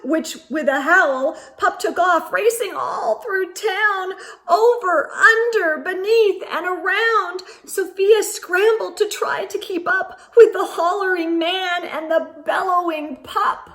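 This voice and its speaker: A woman reads a children's picture book aloud as a storytime host. Her voice is high and her pace is unhurried. She is animated and emphatic, addressing young listeners directly.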